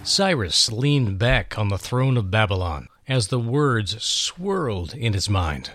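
A man's voice: fairly low pitch, sing-song, slow pace, a bit bright, faint music behind. A man co-hosting and narrating Bible stories in an emphatic, solemn way. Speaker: co-host and dramatic narrator. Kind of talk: Bible discussion and dramatic scripted narration.

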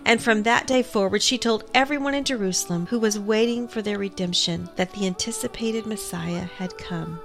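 A woman's voice: medium pitch, moderate pace, creaky, few pauses, faint music behind. A woman co-hosting a faith program, talking and reading in a devotional style. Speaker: program co-host. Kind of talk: devotional talk and scripture reading.

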